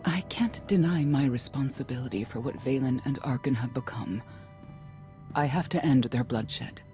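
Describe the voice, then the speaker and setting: A woman voicing a weary, regretful older mother and former elite guard in a video game, speaking in a measured, composed way. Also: extremely low pitch, dark tone. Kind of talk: video game cutscene acting. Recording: music behind the voice.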